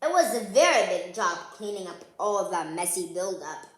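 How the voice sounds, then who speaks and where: A woman with a bright, forward voice hosts a children's read-aloud. She is chatty and informal, talking straight to young viewers. The room around her is noticeably echoey. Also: lowish voice, sing-song, normal speed. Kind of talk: storybook read-aloud and casual chat to viewers.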